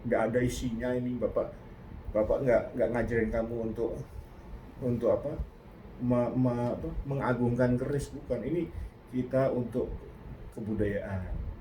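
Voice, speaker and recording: An older Indonesian man giving a casual reaction talk, reflective and earnest, pausing and restarting often. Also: mid-range voice, fairly even intonation, mellow, slight background noise, poor audio. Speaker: host.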